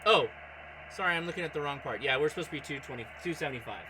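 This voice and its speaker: A man's voice: on the high side, animated, very fast, noisy background. A man chatting casually on a livestream as he operates a radio, thinking aloud in a distracted way. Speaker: amateur radio streamer.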